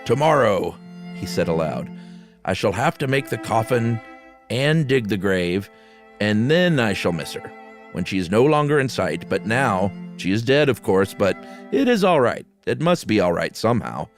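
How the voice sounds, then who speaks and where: A man with a fairly low voice co-hosts a podcast, reading a horror tale aloud and chatting casually about it. Soft guitar music plays faintly behind him. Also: sing-song, normal speed. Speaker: podcast co-host. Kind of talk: dramatic reading of a horror short story with casual asides.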